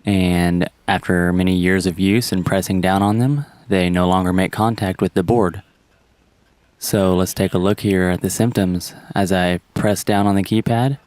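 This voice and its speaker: A man's voice: low-pitched, a little mellow, smooth, dry recording. A man narrating a step-by-step repair tutorial in a plain, instructional manner. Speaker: how-to presenter.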